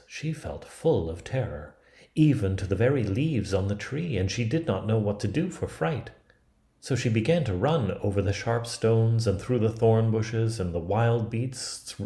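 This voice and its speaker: A man in his fifties reading a storybook aloud in a measured, expressive, dramatic way. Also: fairly low pitch. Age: 50s. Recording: roomy, echoing sound.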